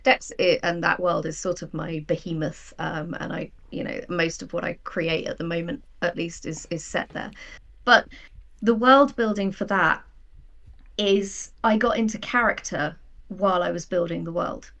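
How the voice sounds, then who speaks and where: Lowish woman w/ some vocal fry, chatting as a guest, animated storyteller type. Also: moderate pace. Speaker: guest, sci-fi writer. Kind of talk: casual interview chat.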